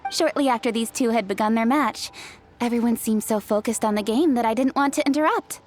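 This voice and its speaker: A woman's voice: on the high side, brisk, darkish tone, lightly acted. A woman playing a dignified, gracious young noblewoman in a video game, speaking in a polite, self-assured, formal way. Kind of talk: video game character acting. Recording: music behind.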